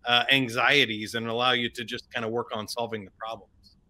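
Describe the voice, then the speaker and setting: Man, podcast host, bright, crisp voice, relaxed conversational questions. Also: mid-range voice, brisk, rough recording. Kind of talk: podcast interview.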